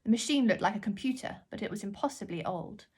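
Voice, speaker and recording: A woman author reading her children's book aloud in an expressive storytelling style. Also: medium pitch, fast talker, breathy edge.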